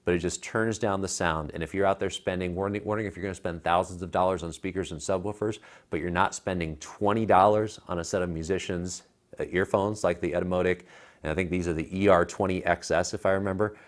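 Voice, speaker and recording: A low-voiced American man in his thirties chats as a tech reviewer. He talks casually and colloquially, pressing his recommendations with emphasis. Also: fairly even intonation, fast talker. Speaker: tech reviewer, show co-host. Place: living room at home. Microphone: clip-on lapel mic.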